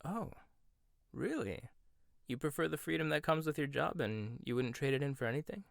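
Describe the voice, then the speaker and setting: A man performing a gentle, intimate ASMR roleplay monologue, hesitant at times and teasing at others. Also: a little high, expressive, gravelly, no room sound, studio quality.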